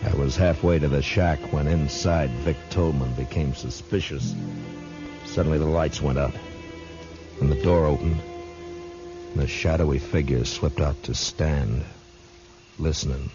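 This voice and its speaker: A man's voice: rumbling low, fairly even intonation, measured pace, long pauses. A man playing a stern, commanding frontier marshal in a 1950s western radio drama, terse and authoritative, with an American accent. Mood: grave narration. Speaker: lead actor and narrator. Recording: muffled 1950s radio broadcast, music underneath.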